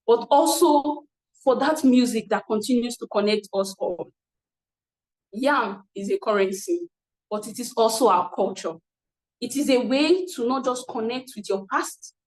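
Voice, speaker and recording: A woman giving an emphatic, theatrical storytelling speech. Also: fairly high pitch. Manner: emphatic, declamatory. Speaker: speech contestant. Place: online meeting, echoey room. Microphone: video call. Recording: roomy echo.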